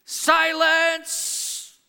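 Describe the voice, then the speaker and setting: A man's voice: very high-pitched, monotone, very slow, vocal fry, bright, forceful tone, exaggerated voice. A man voicing a pompous, boastful turtle king who bellows out commands in a storybook reading, in a hall with long reverb. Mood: imperious, shouting.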